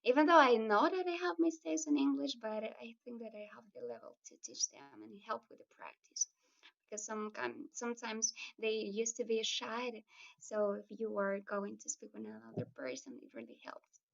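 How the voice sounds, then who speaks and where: A woman's voice: on the high side, animated, normal speed, gravelly. Earnest woman on distant call line. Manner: earnest, talks at length with restarts. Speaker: student and children's language tutor. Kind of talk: casual video-call chat. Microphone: video call. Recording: distant muffled video-call audio.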